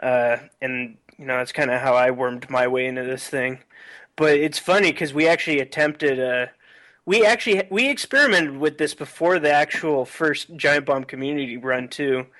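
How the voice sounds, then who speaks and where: A man's voice: medium pitch, moderate pace, pushed and bright. A man talking casually as a guest on a podcast. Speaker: podcast guest, marathon streamer. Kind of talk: casual chat.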